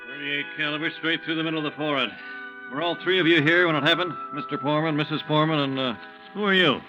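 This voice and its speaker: An actor playing an officious, suspicious young police sergeant in a 1950s radio drama, brusque and bossy. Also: male voice, fairly high pitch, fairly even intonation, fast talker, dull audio, over music, natural voice. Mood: brisk, suspicious.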